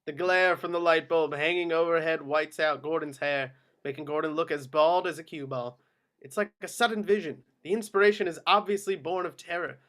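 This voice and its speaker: A man's voice: on the high side, a bit bright. A man reading screenplay action descriptions as scripted narration at a table read. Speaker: reader of stage directions.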